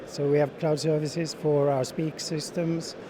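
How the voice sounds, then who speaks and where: A middle-aged man giving unscripted interview answers as a sales manager, speaking in halting phrases with frequent repeats. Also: on the high side, little pitch movement. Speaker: sales manager being interviewed at a trade show. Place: busy exhibition hall. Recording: busy trade show crowd noise.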